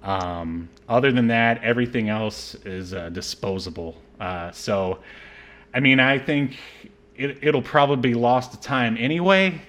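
A man with a medium-pitched voice hosts a casual film review podcast. His delivery is conversational and a bit rambling, with hesitant phrasing as he thinks aloud. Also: unhurried, fairly bright tone. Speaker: podcast host.

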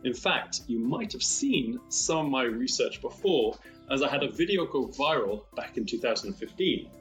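A man with a medium-pitched voice gives a prepared, expert explanation for a young listener. His phrasing is formal and encouraging, and music plays behind him. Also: lively intonation, normal speed, fairly bright tone. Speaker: marine biologist answering a child's question. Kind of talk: prepared explanation addressed to a child.

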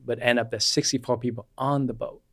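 A man in his forties from Vietnam, whose first language is Vietnamese, telling his life story as a guest chiropractor in an unhurried way with many fillers. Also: medium pitch, little pitch movement, no room sound. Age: 40s. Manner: unhurried, with frequent fillers and restarts. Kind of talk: personal storytelling in an interview.

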